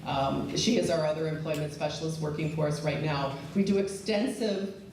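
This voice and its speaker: A middle-aged woman director presenting at a community event, chatty, with many fillers and restarts and a loud voice. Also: low-pitched, normal speed. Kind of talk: conference presentation. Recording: echoey hall, far from the mic, faint noise.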